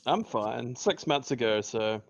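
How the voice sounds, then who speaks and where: A man's voice: mid-range voice, expressive, measured pace, gravelly. A man reading the part of a grumpy, guarded young male bartender in a sitcom table read, dry and sarcastic. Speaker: podcast host reading a part. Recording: dull lo-fi recording.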